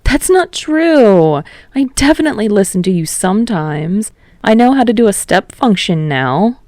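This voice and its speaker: Woman doing a teenage boy, cheerful, eager, raised pitch, enthusiastic, dry audiobook sound. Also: sing-song, normal speed, a little mellow, dry recording, close to an everyday voice. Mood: playful protest. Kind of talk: audiobook character voice.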